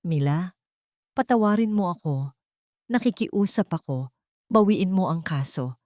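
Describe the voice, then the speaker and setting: A woman's voice: lowish voice, expressive, measured pace, a little mellow, dry recording, clean studio sound. A woman voicing a desperate man who pleads for forgiveness in an audio drama story. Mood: desperate pleading. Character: desperate pleading convicted husband, adult man, begging forgiveness.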